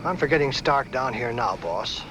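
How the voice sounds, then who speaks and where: An actor playing an eager, worried, loyal detective's sidekick in a 1950s film noir, speaking informally and impatiently. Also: male voice, mid-range voice, lively intonation, moderate pace, fairly bright tone, faint music behind, natural voice. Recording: very noisy old film soundtrack.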